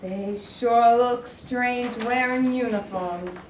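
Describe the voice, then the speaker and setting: Woman playing a high-voiced, hostile teenage soldier boy. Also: slow, darkish tone. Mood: scornful. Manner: griping, aggressive, folksy slangy talk. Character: hostile bigoted teenage boy, Confederate private. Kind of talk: amateur staged play reading. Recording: roomy, distant, faint background noise.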